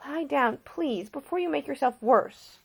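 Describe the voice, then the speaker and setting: A woman's voice: high voice, animated, measured pace, gravelly, voice pushed up, exaggerated voice. A woman voicing an independent, caring woman in love, soothing yet firm, in audiobook character dialogue. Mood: soothing, worried.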